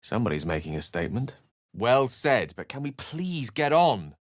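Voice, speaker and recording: An actor playing an erudite, courteous wizard in a fantasy video game, speaking in an eloquent, formal and dramatic way, with wry and melancholy shades. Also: male voice, medium pitch, very animated, normal speed, dry recording, clean studio sound, natural voice. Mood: measured, wary. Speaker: video game companion character. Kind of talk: theatrical fantasy game dialogue and narration.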